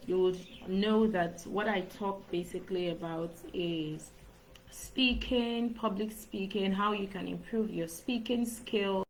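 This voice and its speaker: A woman's voice: mid-range voice, a little hiss or hum, rough recording. Earnest woman on a laptop live stream. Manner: earnest, repeats phrases, light laughs. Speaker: speaking coach. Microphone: laptop microphone.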